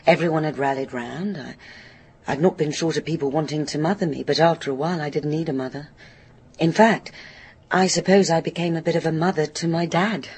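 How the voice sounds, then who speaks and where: A woman's voice: deep voice, steady tone, brisk, a little mellow, slight background noise. A woman playing a troubled, guilt-ridden woman in her fifties in a radio drama, hesitant and brittle. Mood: brittle, reflective. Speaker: actor in a radio play. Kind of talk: naturalistic radio drama acting, confessional.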